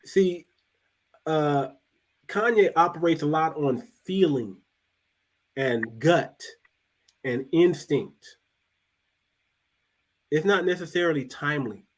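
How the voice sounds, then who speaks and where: Man, casual talk show host, fairly high voice, repeats himself, drops low for emphasis. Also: slow pace, long pauses, poor audio. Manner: loose and conversational, repeats and restarts words, drops pitch and volume for emphasis. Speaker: podcast host.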